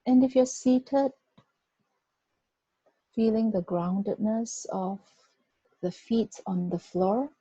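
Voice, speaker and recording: Very dark-voiced, slow woman guiding meditation. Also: medium pitch, husky, dry recording. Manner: gentle, unhurried instruction with long pauses. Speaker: meditation guide. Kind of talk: guided body scan meditation. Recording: dull, muffled lo-fi recording.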